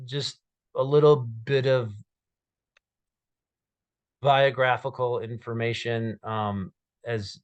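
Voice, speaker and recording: A man in his thirties from Minnesota who stutters, telling his personal story to a webcam in a small dark room, with repeated sounds, blocks and many fillers. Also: medium pitch, little pitch movement, takes it slowly, pauses often, clear, resonant tone, dull audio. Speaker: guest speaker. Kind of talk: personal story told to camera.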